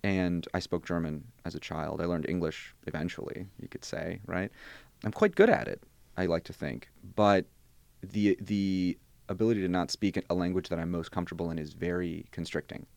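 Grad student, twenties, native Bosnian speaker, low gravelly voice, thoughtful and wry. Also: male voice, normal speed, darkish tone, dry recording. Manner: thoughtful, restarts phrases, wry asides. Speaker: interviewee, graduate student. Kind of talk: conversational interview.

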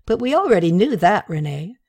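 A woman voicing a patient young woman who answers softly and with mild sarcasm. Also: medium pitch, very animated, normal speed.

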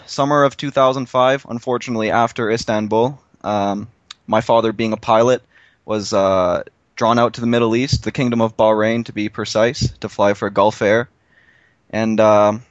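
A young adult man from Canada, chatting casually on a podcast and telling his story with relaxed fillers. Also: on the low side, no echo, dull audio. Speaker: podcast guest.

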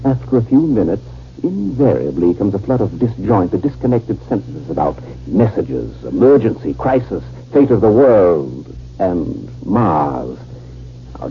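Casting: sinister scheming physician, very animated intonation, deliberate emphasis, dull 1950s radio play recording. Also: male voice, lowish voice, slight background noise. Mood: intense, dramatic. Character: secretive, calculating male doctor with sinister hidden identity. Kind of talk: 1950s radio drama acting.

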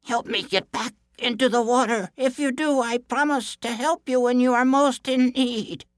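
A man's voice: very high-pitched, steady tone, normal speed, no echo, clean studio sound, voice pushed up. A man voicing a small, helpless talking animal in a fairy tale, pleading earnestly. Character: small starving creature begging high and pleading.

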